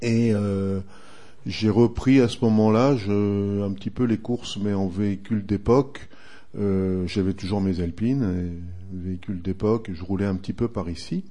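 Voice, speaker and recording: A man in his sixties from northern France, a retired racer turned motoring writer, answering interview questions in an unhurried, reminiscing way with frequent hesitations. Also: lowish voice, fairly even intonation, mellow. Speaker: guest, retired racing driver and motoring writer. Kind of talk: relaxed radio interview answers.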